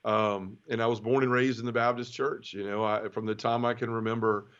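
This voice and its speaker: A man from Memphis with a Southern accent, telling his life story as an interview guest in a relaxed way, with easy laughs. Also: fairly low pitch, fairly quick, dull audio. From Memphis, Tennessee. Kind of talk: personal testimony in conversation.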